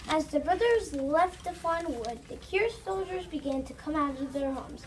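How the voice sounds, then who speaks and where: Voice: high voice, animated, normal speed, crowd noise. A child narrating a scripted story aloud as part of a reader's theater. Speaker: student narrator. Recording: distant mic, noisy room ambience.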